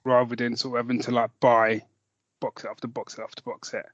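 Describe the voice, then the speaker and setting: A man chats casually as a podcast co-host in a medium-pitched voice. He is jokey, self-mocking and happy to swear. The sound is dry and close, a little muffled. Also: flat delivery, brisk, no echo. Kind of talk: casual chat, recommending audio dramas.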